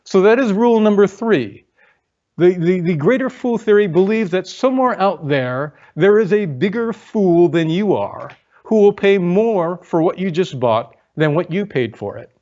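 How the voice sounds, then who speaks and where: A man's voice: sits high, animated, moderate pace, warm tone, highs cut off. A man giving an online lecture and answering viewer questions, chatty, reading them aloud with frequent ums.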